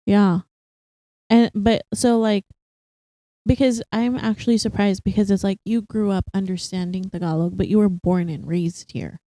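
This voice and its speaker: A young woman co-hosting a casual chat podcast, animated and quick to laugh, full of fillers. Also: mid-range voice, dry recording. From Philippines, raised partly in Singapore. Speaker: podcast co-host.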